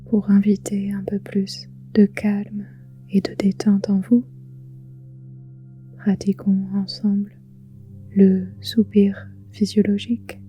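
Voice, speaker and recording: A woman guiding a relaxation meditation in a soft, breathy, whispery voice, soothing, with gentle pauses. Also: mid-range voice. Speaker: yoga nidra guide. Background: soft music with singing bowls.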